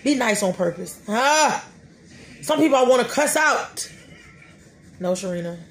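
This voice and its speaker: A woman's voice: a little high, unhurried. Woman in her 30s, preachy fired-up faith talk, faint music behind. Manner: animated, preachy, talks to herself, laughing asides. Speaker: podcast host. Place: small room, indoors before a dark curtain.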